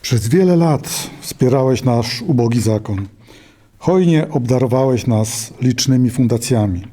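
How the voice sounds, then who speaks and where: A male actor as a portly middle-aged abbot in an audio drama. His voice is very mellow and dark, with a husky edge. He speaks in a measured, reverent and deferential way. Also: mid-range voice, animated. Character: short stout middle-aged Cistercian abbot, humbly reverent.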